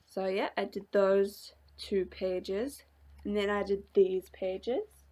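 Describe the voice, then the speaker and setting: A young woman vlogger chatting casually about her journal pages, rambling with many fillers and little laughs, her voice slightly hoarse from a coming cold. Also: mid-range voice, takes it slowly, dark tone. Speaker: craft vlogger. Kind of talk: casual chat showing a journal.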